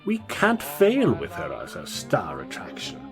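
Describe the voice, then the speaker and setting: A man's voice: lowish voice, huge pitch swings, measured pace, faint music behind. A man acting a genial Wild West gambler who reins in his partners, in a fan comic dub. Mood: calming.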